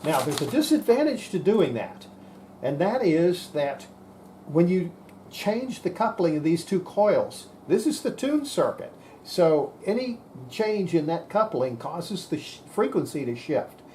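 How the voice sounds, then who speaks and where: A man's voice: high-pitched, big pitch swings, measured pace, dark tone, slight background noise. A man giving an informal hands-on technical explanation, plain-spoken and folksy, thinking aloud as he demonstrates equipment. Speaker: presenter demonstrating radio equipment.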